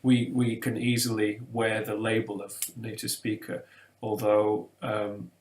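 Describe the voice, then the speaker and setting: A man's voice: on the low side, completely flat delivery, unhurried, roomy sound, slight background noise, rough recording. A man, talking through ideas in a thoughtful, hesitant way with many fillers and restarts. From England. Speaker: webinar co-presenter. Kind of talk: casual academic discussion. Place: room lined with bookshelves, indoors.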